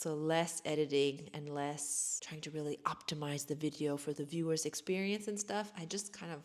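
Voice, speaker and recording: American woman, 30s, low pitch, casual rambling vlog chat, self-deprecating. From United States. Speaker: vlogger. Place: sparsely furnished home.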